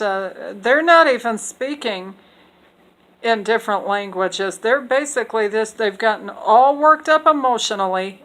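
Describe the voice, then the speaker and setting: Earnest woman, halting with fillers. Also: a little high, fairly bright tone, poor audio. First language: English. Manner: hesitant, many fillers and restarts; earnest, opinionated. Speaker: speaker explaining Bible passages. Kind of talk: unscripted talk on scripture.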